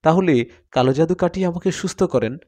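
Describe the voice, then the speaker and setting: A man's voice: a little high, a little fast, a little mellow, voice pushed up, natural voice. A man voicing a frightened, cursed young man in a horror story, agitated and insistent.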